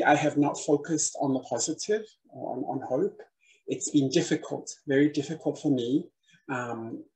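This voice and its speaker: A woman's voice: low-pitched, poor audio. A middle-aged woman from Samoa with a Samoan accent, giving an earnest prepared talk as a panel speaker over a video call, often repeating words as she searches for them. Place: room with bookshelves indoors. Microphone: webcam on a video call.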